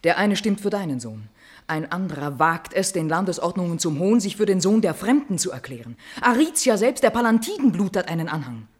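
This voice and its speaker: A woman's voice: on the low side, sing-song, fairly quick, husky. An actress playing a solemn attendant to a queen in a classical verse radio tragedy, speaking in formal, grave declamation. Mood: urgent reporting. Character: solemn female attendant bearing bad news to queen.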